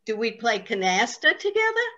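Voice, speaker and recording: A woman's voice: fairly high pitch, big pitch swings, moderate pace, sharp tone, lo-fi sound. A woman playing a doting, wry old immigrant grandmother in a reader's theatre comedy. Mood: gently scolding.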